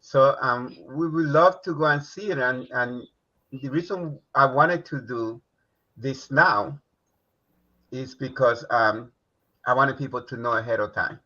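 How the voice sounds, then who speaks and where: A man hosting a live talk stream, relaxed and rambling, laughing easily. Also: medium pitch, unhurried, lo-fi sound. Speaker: talk show host. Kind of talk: casual live-stream chat.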